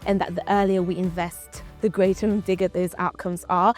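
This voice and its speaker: A woman's voice: on the low side, little pitch movement, brisk, soft background music. A woman from Zimbabwe whose first language is Shona, hosting an interview in an encouraging, enthusiastic way. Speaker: interview host. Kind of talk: casual interview.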